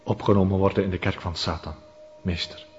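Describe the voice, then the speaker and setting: A man's voice: sits low, steady tone, brisk, a little mellow, close to an everyday voice. An actor playing a reverent, submissive male cult initiate in a television drama, replying formally and obediently. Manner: obedient, brief formal replies. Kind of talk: television crime drama acting. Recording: muffled lo-fi sound, faint music.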